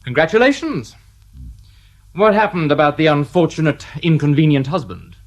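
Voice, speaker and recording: Dry, mocking detective inspector, highish voice, lively inflection; radio play. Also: male voice, moderate pace. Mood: sardonic. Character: sharp, sardonic male detective inspector. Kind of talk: radio drama acting.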